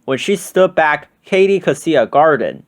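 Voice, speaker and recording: A man reading a children's storybook aloud as scripted, expressive narration. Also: a little high, normal speed. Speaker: storybook reader.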